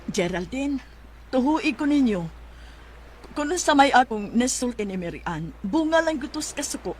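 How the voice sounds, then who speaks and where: A woman's voice: high voice, lively intonation, moderate pace. A woman with a Filipino Bisaya accent playing a remorseful, earnest and somewhat defensive aunt in a radio drama. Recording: faint background noise.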